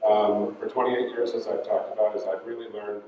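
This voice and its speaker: A man's voice: on the low side, fairly even intonation, brisk, phone-quality sound, slight background noise. A man giving a conversational presentation to a live audience as a guest speaker. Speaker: guest speaker, executive recruiter. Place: large event room with an audience. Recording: echoey hall, mic from a distance.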